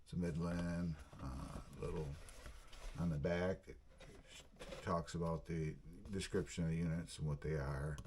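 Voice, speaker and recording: A man's voice: low-pitched, fairly even intonation, unhurried, a little mellow. A man hosting a casual unboxing vlog, talking in a plainspoken, folksy way as he handles things. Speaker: video channel host. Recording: clearly noisy recording with clicks.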